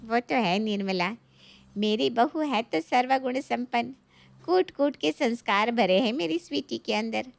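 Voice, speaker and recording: A woman's voice: on the high side, lively intonation, a little slow, creaky. A woman playing a proud, affable older mother-in-law and aunt in a moral story, with a matronly manner. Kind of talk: animated moral story dialogue.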